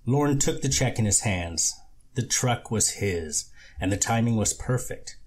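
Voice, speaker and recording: A man's voice: lowish voice, expressive, a little slow, a little mellow, slightly rough. A man reading a novel aloud as scripted narration, as an amateur audiobook reader.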